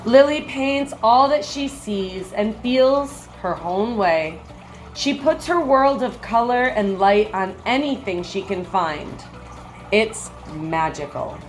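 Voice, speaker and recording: Woman, teacher read-aloud, slow unhurried pace, medium pitch, expressive storybook phrasing. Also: faint music behind, poor audio. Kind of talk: picture book read-aloud with brief comments.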